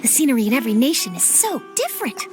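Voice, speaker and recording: A woman's voice: fairly high pitch, big pitch swings, fast talker. A woman voicing an eager, talkative young journalist in a game cutscene. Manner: eager, chatty, flustered at times. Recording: game audio with music behind.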